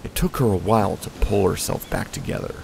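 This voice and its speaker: Deep, gravelly male narrator. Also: brisk, darkish tone. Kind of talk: scripted story narration. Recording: noisy recording.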